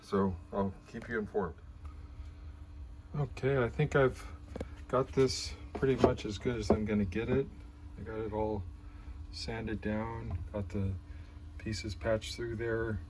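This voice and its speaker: Low-voiced man talking through a hands-on repair. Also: steady tone, darkish tone, poor audio. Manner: halting, unhurried, thinking aloud. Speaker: vlogger restoring an old radio. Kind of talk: casual hands-on demonstration commentary. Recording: clear background noise.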